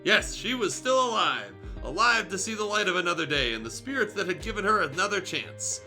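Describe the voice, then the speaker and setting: Man, streamer, very bright forceful voice, highish pitch, theatrical story reading, irreverent, music behind. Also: sing-song, brisk. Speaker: streamer reading fan fiction aloud. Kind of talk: dramatic story reading with joking asides.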